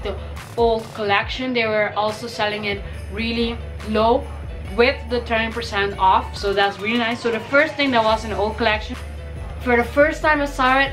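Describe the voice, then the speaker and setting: A woman's voice: fairly high pitch, steady tone, normal speed, fairly bright tone, soft background music. A young woman from the Caribbean, chatty and upbeat, talking through a shopping haul in a bedroom while she has a cold. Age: young adult. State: has a cold, voice may crack. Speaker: fashion vlogger. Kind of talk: casual shopping haul vlog. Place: bedroom, indoors.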